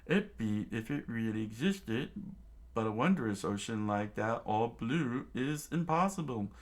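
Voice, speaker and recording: A man's voice: mid-range voice, lively intonation, measured pace, close to an everyday voice. Man voicing a scoffing, bossy ship's chef. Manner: scoffing, lecturing. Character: gruff older male ship's chef, mocking and bossy.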